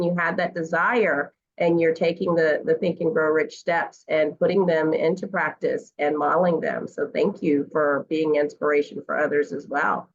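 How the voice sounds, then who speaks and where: A woman's voice: on the low side, dull audio. A woman speaking as a guest parent coach in a conversational interview, earnest and encouraging, with fillers.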